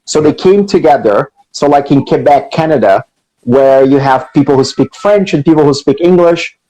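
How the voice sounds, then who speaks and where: Brazilian man, co-host, fairly high, mellow voice, explains in an easy, conversational way. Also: moderate pace, poor audio. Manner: explanatory, frequent "you know" and "right?". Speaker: co-host, teacher. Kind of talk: casual live-stream explanation.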